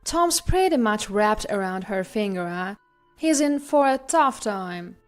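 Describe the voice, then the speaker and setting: A wry male wedding guest in a narrated family tale, read by a woman. He confides his pitying gossip slowly, with faint music behind. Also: medium pitch, expressive, close to an everyday voice.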